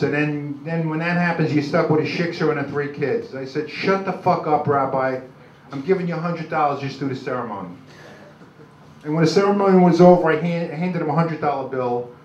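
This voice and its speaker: An older man with a New York accent does halting, self-deprecating, rambling stand-up comedy. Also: fairly high pitch, distant mic. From Brooklyn, New York, USA. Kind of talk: live stand-up comedy, crude jokes. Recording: dull, noisy, echoey club recording from afar.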